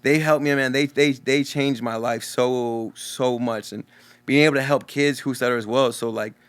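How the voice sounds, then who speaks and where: Young man who stutters, smooth voice. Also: medium pitch, moderate pace. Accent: Texan. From Texas. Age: young adult. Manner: stutters openly, relaxed and earnest. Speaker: guest DJ. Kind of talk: casual interview talk.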